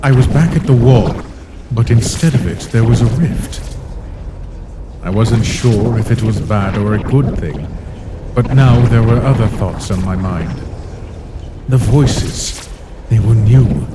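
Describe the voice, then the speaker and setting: Man acting as a low-voiced, brooding void being. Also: unhurried, pauses often, background noise, close to an everyday voice. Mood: pensive, guarded. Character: weary, brooding ancient male being narrating from the void. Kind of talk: scripted first-person comic dub narration. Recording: voice under loud music.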